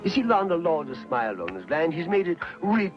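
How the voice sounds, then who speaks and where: Folksy Southern frontier preacher, muffled soundtrack. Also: male voice, on the high side, very animated, brisk. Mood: fervent, preaching. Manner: folksy, sermonizing, rustic speech. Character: folksy frontier preacher, earnest, pious, talkative soul-saver. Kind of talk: Western film acting. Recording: muffled old film soundtrack with music.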